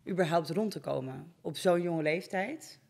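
A woman's voice: on the low side, moderate pace, gravelly, a little mellow. A young adult woman hosting a conversational interview and putting questions to her guest. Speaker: interviewer, host. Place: hair salon, indoors. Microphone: studio microphone on a stand, close.

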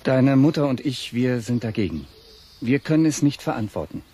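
A man's voice: mid-range voice, expressive, moderate pace, mellow, husky. An actor playing a firm, worried middle-aged father and doctor in a children's audio drama. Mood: stern, exasperated. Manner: earnest, firm, easily exasperated.

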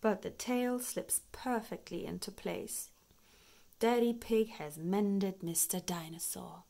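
A woman reads a picture book aloud for young children. She takes it very slowly, with measured but animated storytelling and a mid-range voice. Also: medium pitch, gravelly. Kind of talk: slow expressive read-aloud for young children.

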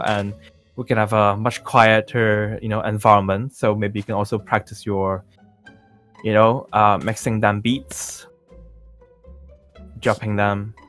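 A man playing a self-assured young male pop singer in an improvised Minecraft roleplay, talking in a halting, rambling, nonchalant way. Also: fairly low pitch, a bit bright, lightly acted. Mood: casual, nonchalant. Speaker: roleplay performer. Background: music.

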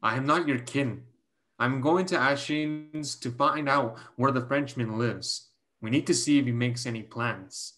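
A man acting a protective, lovelorn brother figure in a staged drama reading over a video call, speaking earnestly and haltingly. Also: medium pitch, a bit bright, lightly acted. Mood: hurt, firm. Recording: clear background noise on video call.

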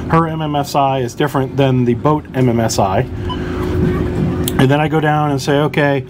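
A man's voice: medium pitch, fairly even intonation, moderate pace, very noisy. A man hosting a boating channel, casually explaining equipment in a rambling way with lots of fillers and restarts, recorded on a boat. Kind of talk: hands-on equipment tutorial.

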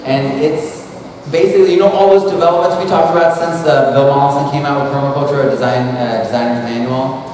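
A man's voice: medium pitch, fairly even intonation, quick pace, a little mellow, slight background noise. Casual man talking in an echoey hall. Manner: rambling, casual, full of "like" and restarts. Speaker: speaker. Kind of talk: conference talk. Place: large hall at an expo. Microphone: handheld mic. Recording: echoey hall recorded from far off.

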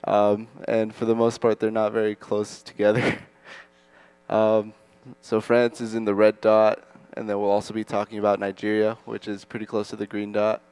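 Young man, panel moderator, low voice, dry close sound, casual and upbeat. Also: completely flat delivery, normal speed, a little mellow, smooth, no room sound. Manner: casual, upbeat, with filler words. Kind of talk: moderating a school chapel panel. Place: school auditorium stage.

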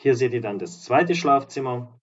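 A slightly hoarse man giving an upbeat, promotional walkthrough of apartments as a real estate agent and vlogger. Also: medium pitch, animated, normal speed, highs cut off. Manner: upbeat, promotional, walks through rooms while talking. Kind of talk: property tour narration. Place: apartment complex in a seaside town, indoors and outdoors.

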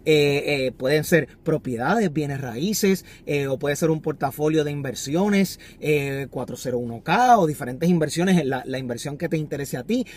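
Man, 30s, fairly high voice, earnest finance coach filmed by phone from a car seat. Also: lively intonation, normal speed, hardly any pauses, a little mellow. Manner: earnest, instructive, repeats key phrases. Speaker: finance vlogger. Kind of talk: motivational talk to camera. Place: inside a parked car. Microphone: phone camera on the dashboard.

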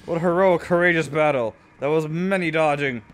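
A man's voice: fairly high pitch, fairly quick. A man giving casual, jokey and sometimes sweary commentary while playing a video game with a friend.

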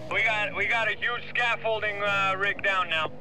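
A harried male patrol cop calling for backup in a video game. His voice is high and urgent, often repeating itself. It comes through a police radio with clear engine noise. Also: moderate pace. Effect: police radio filter.

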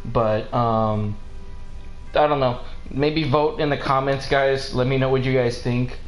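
A man's voice: medium pitch, fairly even intonation, unhurried. A man streaming a video game, chatting casually as he plays. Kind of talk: casual live-stream chat while gaming. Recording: roomy room sound, game music behind. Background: video game music.

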